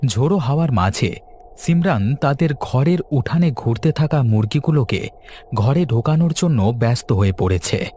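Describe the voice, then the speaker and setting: A man's voice: mid-range voice, animated, moderate pace, dark tone, a little hiss or hum. A man giving dramatic scripted narration for a cartoon ghost story.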